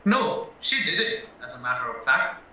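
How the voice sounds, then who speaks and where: Male actor, naive accused young husband, earnest and anxious, very bright animated voice, audio drama, heavy echo. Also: mid-range voice, unhurried, full character voice. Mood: earnest, chatty. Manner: earnest, rambling, protesting. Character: naive, eager, anxious married man accused of murder. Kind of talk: stage-style audio drama acting. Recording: very echoey, muffled, distant, music underneath.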